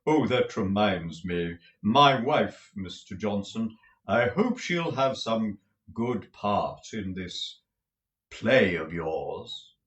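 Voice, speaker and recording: A man voicing a pompous male tragedian actor who declaims in a deep, theatrical manner. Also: expressive, slow, fairly bright tone, lo-fi sound. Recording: roomy echo.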